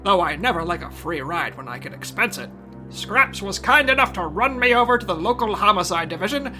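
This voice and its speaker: An actor playing a wry American insurance investigator in an old-time radio detective drama. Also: male voice, sits high, big pitch swings, brisk, bright, forceful tone, faint music behind, full character voice. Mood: brisk, inquiring. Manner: wry, quippy. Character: wisecracking 1940s-style insurance investigator, smooth with dames.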